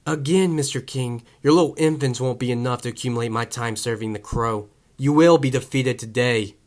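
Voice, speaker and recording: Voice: medium pitch, fairly quick, a little mellow, lightly acted. An actor playing an arrogant, mocking, pompous shadowy villain in a fan audio drama. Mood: cold, threatening.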